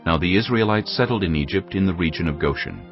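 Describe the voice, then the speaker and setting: Very deep, gravelly male narrator. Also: lively intonation, quick pace. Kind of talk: dramatized scripture narration. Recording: dull lo-fi audio. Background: faint music.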